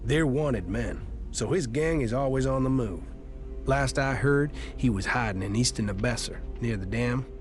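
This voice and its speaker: Low-voiced, troubled male outlaw played by an actor. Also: moderate pace, faint music behind, natural voice. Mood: grim. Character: wary former gang outlaw, troubled and fatalistic. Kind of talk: video game character dialogue.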